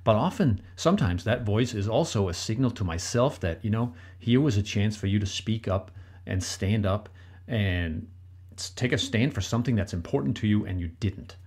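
A man's voice: lowish voice, expressive, normal speed, a little mellow, slightly rough. A middle-aged man with a Danish accent, whose first language is Danish, co-hosting a relationship podcast and talking earnestly and directly to listeners. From Denmark. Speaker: podcast co-host, relationship coach. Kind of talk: solo advice talk.

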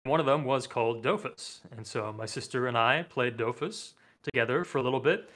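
A man's voice: medium pitch, a little fast. Young guy, french is his first language, easygoing chatty podcast guest, laughs a lot, on a desk mic over a video call. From France. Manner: relaxed, thoughtful, laughs often. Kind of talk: casual chat. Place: home room, seated at desk. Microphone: desk mic with headset, video call.

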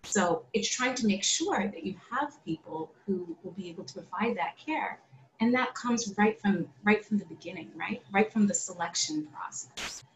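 A woman's voice: mid-range voice, expressive, moderate pace. Woman on an echoey video call. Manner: conversational, often adds "you know". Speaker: optometrist panelist. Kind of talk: panel discussion over video call. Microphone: video call webcam. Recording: echoey room with faint background hiss.

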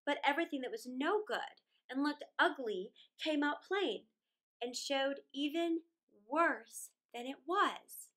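Animated, high-voiced woman reading a storybook. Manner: theatrical, deliberate, expressive with drawn-out words and dramatic pauses. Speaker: storyteller reading aloud for children. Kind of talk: dramatic children's storybook read-aloud. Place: small indoor room against a plain wall.

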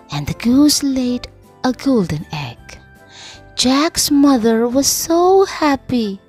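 Female teacher narrator, Indonesian accent, slow, lively intonation, soft music behind. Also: on the high side, husky. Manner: slow, expressive, sing-song narration. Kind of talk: storybook read-aloud for children.

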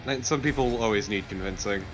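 A man's voice: lowish voice, a little fast, soft background music. A man co-commentating on a video game in casual chat. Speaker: let's play co-commentator.

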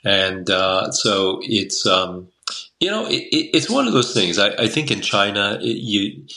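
Bright-voiced older man, rambling. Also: on the low side, expressive, measured pace, vocal fry. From Taiwan. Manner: rambling, with many restarts and fillers. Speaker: guest, Chinese medicine doctor. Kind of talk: casual interview talk. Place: home room by a window. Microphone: video call webcam.

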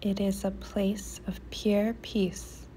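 A woman leading a guided meditation in a soft, soothing, often near-whispered voice with pauses. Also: medium pitch, level tone, a little hiss or hum, rough recording.

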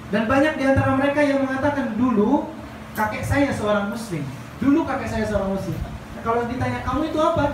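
A young Indonesian man giving an earnest religious talk to a seated gathering, repeating phrases for emphasis. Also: high voice. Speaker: Islamic boarding school teacher. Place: crowded indoor room, seated gathering. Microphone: handheld microphone through speakers, filmed from across room. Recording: echoey noisy room, far from mic.

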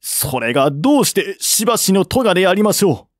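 Man voicing a high-pitched, insistent court envoy. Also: very animated, brisk, no room sound, studio quality. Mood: argumentative. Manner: formal, deferential, argumentative. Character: earnest, protesting male court envoy, polite and insistent. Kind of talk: historical audiobook dialogue.

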